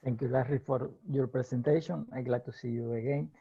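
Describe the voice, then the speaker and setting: Cuban man, measured and hesitant. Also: medium pitch, fairly even intonation, gravelly, dark tone, breathy edge, dry recording, lo-fi sound. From Cuba, Holguín. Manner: measured, hesitant with many fillers, laughs often. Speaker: interview guest, law professor. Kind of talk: thoughtful interview answers.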